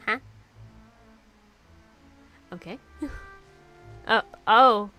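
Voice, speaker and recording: A woman streamer giving playful, loud reactions while playing a video game. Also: a little high, extremely slow, music in the background. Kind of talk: live gameplay commentary.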